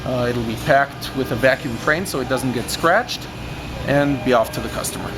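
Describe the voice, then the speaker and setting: A man's voice: mid-range voice, moderate pace, over music, rough recording. A man in his thirties giving a precise, unscripted technical explanation in a methodical way. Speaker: loudspeaker maker explaining factory testing. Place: large industrial workshop, indoors. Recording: steady ventilation and workshop machinery noise.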